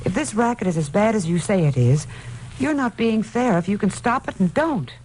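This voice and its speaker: A woman's voice: medium pitch, lively intonation, normal speed, a little mellow, natural voice. An actress playing an earnest, insistent woman who persuades and reassures in a vintage radio detective drama. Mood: persuasive. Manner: urgent, pleading, determined. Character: earnest, insistent female helper, promising and persuasive. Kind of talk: 1940s-50s radio detective drama acting. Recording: noisy vintage radio recording.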